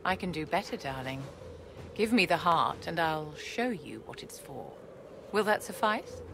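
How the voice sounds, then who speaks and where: A haughty, genteel court enchantress from a fantasy video game. Her voice sits fairly low, and she speaks in measured, formal phrases with lofty courtesy. Clear background noise and ambience surround her. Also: very animated, heavily acted. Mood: gracious, composed. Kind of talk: video game cutscene acting.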